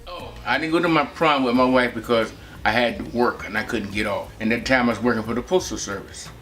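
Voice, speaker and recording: A man in his seventies from Texas, recalling his family life in a relaxed, rambling way with many pauses. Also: mid-range voice, soft background music. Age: 70s. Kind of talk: personal reminiscence.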